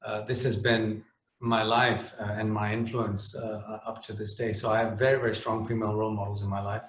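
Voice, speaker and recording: A man's voice: on the low side, little pitch movement, fairly quick, roomy sound, a little hiss or hum. A middle-aged man from the Netherlands speaking on a webinar panel, hesitant, with many restarts and fillers.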